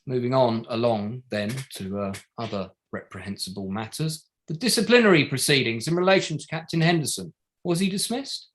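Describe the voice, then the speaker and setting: An actor playing a probing, sardonic barrister at a public inquiry in a play reading, formal and pointed, pressing with rhetorical questions. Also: male voice, mid-range voice, animated, fairly quick, close to an everyday voice. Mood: methodical, insistent. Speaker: actor in an online table read. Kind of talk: courtroom-style cross-examination in a play reading.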